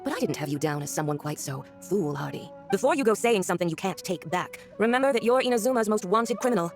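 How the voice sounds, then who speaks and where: An actress as a sneering, aristocratic game villainess with lethal power. She talks quickly at a medium pitch, condescending and theatrical, over music. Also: lively intonation, lightly acted. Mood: smug menace. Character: haughty, mocking villainous woman diplomat with lethal power. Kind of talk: video game voice acting.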